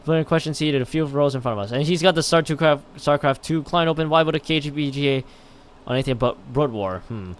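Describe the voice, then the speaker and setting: A man's voice: on the high side, fast, smooth. A man livestreaming a visual novel, reading it aloud with stumbles and breaking in with casual, exclaimed reactions. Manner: stumbles over read text, exclaims and interjects his own reactions. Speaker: game streamer.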